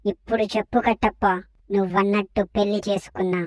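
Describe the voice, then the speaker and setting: A woman voicing a proud, vengeful young woman in an animated parody, dramatic and challenging. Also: medium pitch, fast, no room sound, lightly acted. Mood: expectant. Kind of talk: animated parody comedy voice acting.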